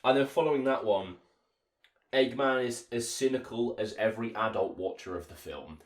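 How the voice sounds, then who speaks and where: A man's voice: mid-range voice, normal speed. A man in casual, opinionated film discussion as a podcast co-host, speaking informally. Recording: echoey room sound.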